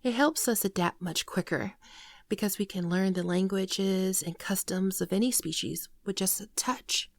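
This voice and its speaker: A woman voicing a gentle, wounded shapeshifter alien in a soft, earnest, confessional ASMR roleplay, often close to a whisper. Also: mid-range voice, moderate pace, no echo, close to an everyday voice. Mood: solemn, explaining. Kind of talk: ASMR roleplay monologue, scripted.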